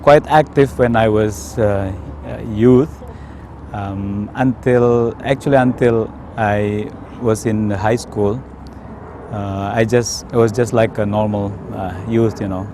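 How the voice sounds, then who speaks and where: A man from Indonesia with an Indonesian accent, telling his personal story in a hesitant, careful way with frequent pauses. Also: on the low side, fairly even intonation, noisy background. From Indonesia, South Sumatra. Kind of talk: unscripted personal testimony.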